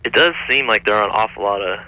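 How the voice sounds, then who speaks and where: A man's voice: fairly low pitch, fast talker, sharp tone, dry recording. A man in his twenties from France calling in to a radio show on a phone line, hesitant and full of fillers. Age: 20s. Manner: hesitant, lots of fillers and restarts. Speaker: caller. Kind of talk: phone-in call.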